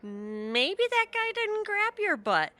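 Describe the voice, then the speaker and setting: Flippant friend, unhurried and bright. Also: female voice, very high-pitched, big pitch swings, dry recording, heavily acted. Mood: wry, skeptical. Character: flippant, joking woman friend, unimpressed and blunt. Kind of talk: audio theatre comedy acting.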